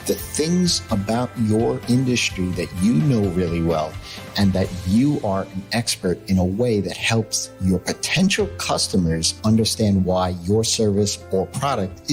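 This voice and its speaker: A man with a New York accent hosts a podcast in a casual, upbeat manner. His voice sits low. Faint music plays softly behind him. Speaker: podcast host. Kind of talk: podcast interview.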